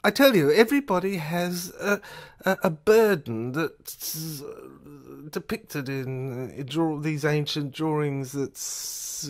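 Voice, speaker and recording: A man's voice: sits high, exaggerated voice. An irascible police superintendent in an audio drama, acted by a man, lectures at length. He is erudite and scornful, stumbling and rambling through his explanations as he talks down to a subordinate. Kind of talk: amateur audio drama acting.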